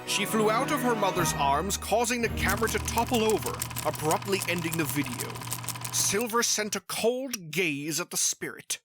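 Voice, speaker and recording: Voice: medium pitch, animated, moderate pace. A narrator reading a scripted fan fiction story aloud. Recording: music behind the voice.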